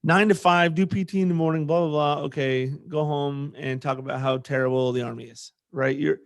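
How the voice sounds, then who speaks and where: A man's voice: fairly high pitch, fairly even intonation, a little fast. A man hosting a podcast in casual, conversational chat, talking informally as an army sergeant. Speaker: podcast host.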